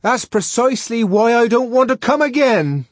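Man acting furious defiant young savage, high bawling shout, derisive and ferocious, novel reading. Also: lively intonation, moderate pace, no room sound, studio quality. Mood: exasperated.

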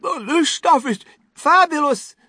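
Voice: high voice, animated, measured pace, bright, forceful tone, no room sound, clean studio sound, voice pushed up, full character voice. A reader voicing a greedy, gluttonous young boy in a children's storybook. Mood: greedy delight.

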